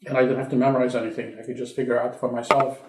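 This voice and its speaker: Hungarian-born man in his sixties, oral history interviewee, reflective with restarts, mid pitch, echoey room. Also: little pitch movement, rapid-fire. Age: 60s. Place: his home. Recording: roomy home interview.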